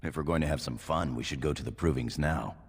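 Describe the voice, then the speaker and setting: An actor playing a gruff, plain-spoken male dwarf warrior, a loyal companion, with a raspy voice in a video game. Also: rumbling low, fast, big-room sound, exaggerated voice. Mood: eager. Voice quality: gravelly, raspy.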